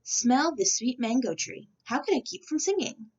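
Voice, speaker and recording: A woman's voice: high-pitched, very animated, normal speed, a little mellow, slightly rough, muffled recording, pitched above the performer's own voice, heavily acted. Woman voicing a plucky, stubborn little rooster. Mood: cheerful defiance. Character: plucky stubborn little singing rooster, male, cheerful and brave. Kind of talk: storybook character voice.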